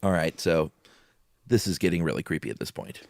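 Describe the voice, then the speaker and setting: A man's voice: deep voice, a little fast, gravelly. A man hosting and reading a horror story dramatically, with casual asides. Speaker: podcast host and story reader. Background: music.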